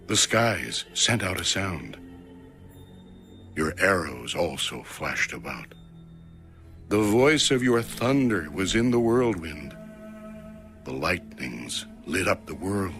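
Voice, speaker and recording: Man, low-pitched, slow measured delivery, solemn scripture narration, declamatory, highly animated intonation. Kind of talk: solemn dramatic audio Bible reading.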